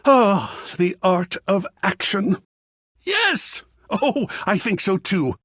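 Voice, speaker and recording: A man voicing a whimsical, effusive video game character who is art itself, raspy and gravelly, speaking in a grandiose, florid, theatrical way. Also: high-pitched, very animated, slow pace, fairly bright tone, no room sound, clean studio sound, full character voice. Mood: excited, theatrical. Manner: grandiose, florid, savoring words with dramatic swoops. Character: flamboyant, whimsical living embodiment of art, effusive and philosophical.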